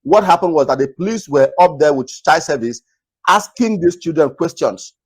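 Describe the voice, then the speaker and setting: A man from Nigeria hosting a talk video, earnestly advising viewers in a naturally loud voice and repeating his points. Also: a little high, expressive, moderate pace, husky. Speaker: host. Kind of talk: casual commentary to camera.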